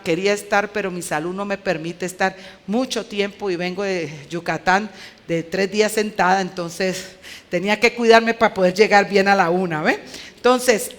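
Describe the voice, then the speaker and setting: A woman's voice: medium pitch, fairly bright tone. A woman with a Colombian accent preaching conversationally, with a clipped, emphatic delivery and rhetorical questions to her listeners. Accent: Colombian Spanish. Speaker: women's conference speaker.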